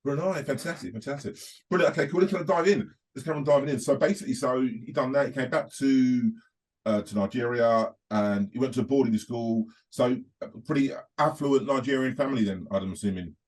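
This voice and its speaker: A man hosting an interview, enthusiastic and quick to repeat words of praise. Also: medium pitch, a little mellow, poor audio. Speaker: podcast host. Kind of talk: casual interview chat.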